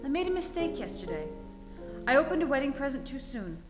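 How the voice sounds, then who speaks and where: Actress as gushing high-society bride, very high voice, vintage radio romance, reverberant hall. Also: female voice, very animated, fairly quick, over loud music. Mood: earnest, tremulous. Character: emotional red-haired high-society bride, romantic and breathless. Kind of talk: 1940s radio play acting. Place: theatre stage before an audience. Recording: echoey stage hall, live performance.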